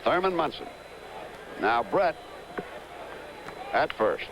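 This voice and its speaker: A man doing play-by-play on a live baseball game, steadily calling each pitch and reeling off player statistics. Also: slow pace. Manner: steady, stat-heavy calling of the action. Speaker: play-by-play announcer. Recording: noisy 1970s broadcast recording.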